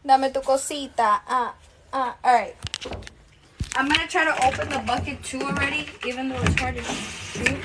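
A woman in her twenties chatting casually with her mother, relaxed and offhand with quiet asides. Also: high-pitched, moderate pace, slight background noise. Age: 20s. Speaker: host's daughter. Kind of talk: casual off-the-cuff chat.

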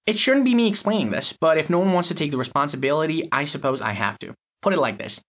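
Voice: medium pitch, animated, fast talker. An actor playing an impatient, reluctant lab technician in an audio story, explaining with exasperation. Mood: exasperated.

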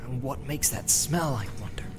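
A man's voice: mid-range voice, moderate pace, over music, close to an everyday voice. A man voicing a small, plain-spoken, suspicious hobbit traveller with a homely, grumbling manner in a fantasy audiobook. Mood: wary, grumbling.

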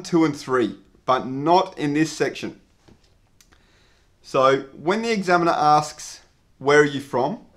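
A man, explaining an English exam lesson to camera at a clear, measured pace. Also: a little high. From Australia. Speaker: English teacher. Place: indoor studio with dark backdrop.

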